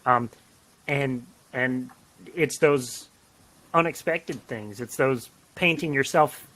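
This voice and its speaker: A man talking casually as a writer on a podcast, rambling and self-deprecating in an animated way. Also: medium pitch, slow, poor audio. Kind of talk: casual podcast chat about writing. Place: home office, on a remote call.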